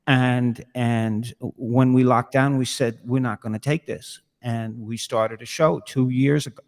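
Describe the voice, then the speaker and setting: A man's voice: mid-range voice, steady tone, unhurried. A man, a musician guest, chatting casually over a video call. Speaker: guest, musician with a weekly show. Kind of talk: casual chat. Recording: reverberant room sound.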